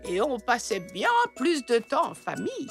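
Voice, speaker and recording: A woman's voice: a little high, big pitch swings, moderate pace, soft background music. A woman voicing a kindly, nostalgic elderly great-grandmother zebra in a French-language children's cartoon. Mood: fondly nostalgic.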